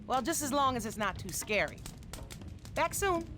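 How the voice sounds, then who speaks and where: A woman voicing a protective, gentle but firm mammoth mother in an animated game. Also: high-pitched, normal speed, soft background music. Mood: mildly stern. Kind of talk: animated game cutscene acting.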